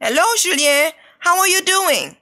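Bright high-voiced woman reading dialogues. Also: expressive, measured pace. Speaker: textbook audio reader. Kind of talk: scripted dialogue reading for learners.

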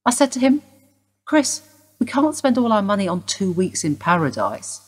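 A woman's voice: medium pitch, big pitch swings, normal speed, breathy edge. An actress playing a sceptical, pointed policewoman in a radio play. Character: sceptical, hard-nosed policewoman questioning a suspect. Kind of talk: radio drama dialogue.

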